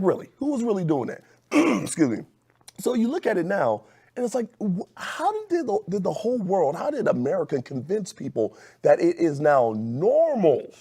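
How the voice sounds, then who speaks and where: A man co-hosting a casual political talk show, talking in an animated, incredulous way. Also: breathy edge. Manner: animated, incredulous, swooping pitch. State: scratchy throat. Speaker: co-host.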